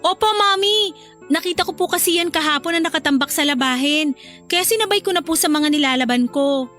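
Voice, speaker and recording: Young wife in a radio drama, really high voice, dutiful but jealous, music under it. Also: female voice, brisk, fairly bright tone. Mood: meek, apologetic. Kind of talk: Filipino radio drama acting.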